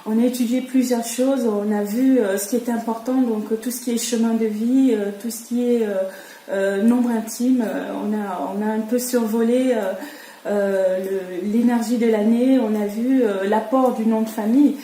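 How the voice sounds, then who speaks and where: Mellow-voiced woman asking halting questions. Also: a little high, roomy sound, a little hiss or hum, low-quality recording. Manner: calm, halting questions with fillers. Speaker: numerologist interviewer. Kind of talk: casual interview chat.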